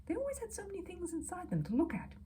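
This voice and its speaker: A woman reading a children's book aloud as a storyteller, expressive and dramatic, dropping into hushed asides. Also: very high-pitched, quick pace, slight background noise. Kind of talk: expressive children's audiobook narration.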